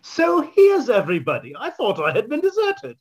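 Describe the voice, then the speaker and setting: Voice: high-pitched, huge pitch swings, normal speed, heavy background noise. An actor playing a defensive, melodramatic murder suspect, theatrical and indignant, in an old-time radio mystery parody. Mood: evasive, dismissive. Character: defensive, melodramatic party guest, secretly a murderer.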